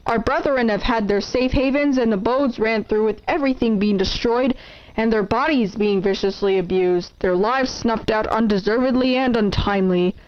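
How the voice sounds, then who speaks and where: Devout preacher, female actor, slightly high, formal and exhorting sermon delivery, lo-fi horror broadcast sound. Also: normal speed, natural voice. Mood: solemn. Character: solemn devout preacher addressing a troubled flock. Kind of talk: scripted sermon in analog horror drama. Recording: dull, noisy lo-fi broadcast sound.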